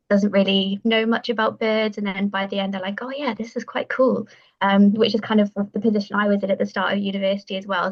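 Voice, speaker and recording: A woman's voice: mid-range voice, lo-fi sound. A young woman from West Wales, chatting as a podcast guest and filling her talk with "um", "like" and "kind of". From Pembrokeshire, West Wales. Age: young adult. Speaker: guest, university bird society president. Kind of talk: podcast interview.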